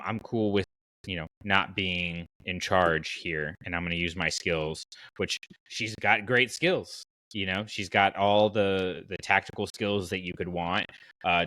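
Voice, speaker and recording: A man with a fairly low but bright, forward voice, recorded close and dry. He hosts a casual fan podcast and explains his thinking conversationally, wandering into asides. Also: dry recording, poor audio. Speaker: podcast host.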